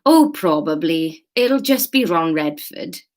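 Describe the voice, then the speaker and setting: A woman's voice: deep voice, lively intonation, slow pace, a little mellow. Woman reading as a fed up uni worker lady in a story, dry and wry, very up and down. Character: wry, eye-rolling female university worker.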